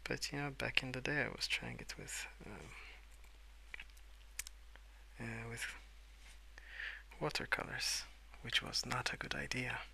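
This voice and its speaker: A man speaking in a soft, hushed ASMR ramble, drifting between murmur and whisper in a hesitant, unhurried way. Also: mid-range voice, sing-song, gravelly, a little hiss or hum, poor audio. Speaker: host of an ASMR colouring channel. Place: indoors at a wooden desk.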